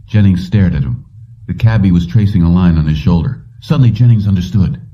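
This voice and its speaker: A man's voice: low-pitched, expressive, brisk. A man reading a short story as scripted audiobook narration. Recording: dull lo-fi, distant from mic.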